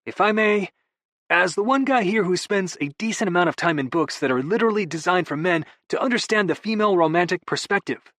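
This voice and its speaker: A man's voice: high-pitched, animated, brisk, fairly bright tone, no echo, studio quality, voice pushed up. A man voicing a bossy young man who lectures his brother in a pointed, know-it-all way. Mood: lecturing.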